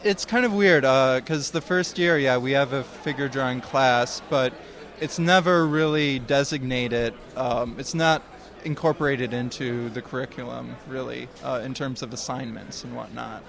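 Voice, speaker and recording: Young male guest, mid-pitched voice, halting and unsure answers, outdoor interview. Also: vocal fry, a bit bright. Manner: hesitant, halting, many ums. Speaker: guest, comics student. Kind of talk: casual interview.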